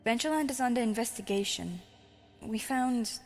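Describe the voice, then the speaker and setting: A woman's voice: fairly high pitch, lively intonation, normal speed, darkish tone, big-room sound, voice pushed up. A woman playing a serious, incredulous male rabbit in a cartoon horror story reading. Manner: incredulous, questioning. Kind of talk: acted character dialogue in fanfic reading. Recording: music under the voice.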